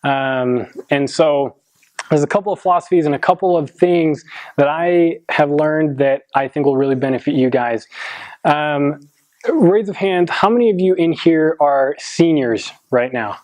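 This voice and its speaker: A man in his twenties giving a motivational talk to a high school football team, earnest, with many ums and restarts. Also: unhurried, darkish tone, no echo. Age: 20s. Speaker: motivational speaker.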